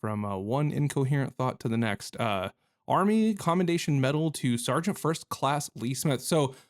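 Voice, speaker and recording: A man hosts a video game playthrough in a medium-pitched voice. He talks casually and reacts as he goes, rambling and joking with goofy, mild exclamations. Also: lively intonation, normal speed. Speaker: gamer hosting a playthrough video. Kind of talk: casual, reactive gameplay commentary.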